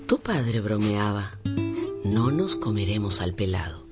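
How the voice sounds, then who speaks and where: Very low, tender mother. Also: lively intonation, unhurried, over loud music. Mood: soothing. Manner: soft, gentle. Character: tender, soothing mother comforting her son. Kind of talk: Spanish-language radio drama acting.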